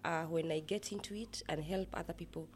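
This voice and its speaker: A woman with a Kenyan accent explaining her work in an interview, speaking in a measured, earnest way with pauses. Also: low-pitched, steady tone, slight background noise. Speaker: interviewee, project manager at a rehabilitation center.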